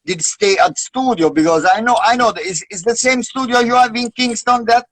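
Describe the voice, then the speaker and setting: A man's voice: very high-pitched, fairly quick, fairly bright tone, dry recording. A man from Italy, asking questions in a casual live video chat in English, with Jamaican patois phrases mixed in. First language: Italian. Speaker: guest interviewer.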